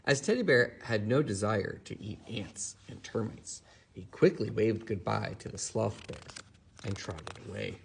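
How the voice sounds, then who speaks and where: A man reading a bedtime story to a child, expressive, with drawn-out emphasis on key words. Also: fairly low pitch, gravelly, a little hiss or hum.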